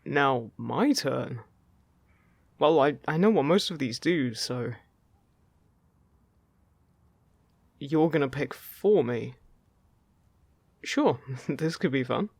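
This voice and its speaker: A man's voice: mid-range voice, expressive, takes it slowly, creaky, pauses often, breathy edge, dry recording, full character voice. A man playing a friendly, teasing male fairy in an audio roleplay, chatting casually and reassuringly to the listener. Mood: teasing, flustered.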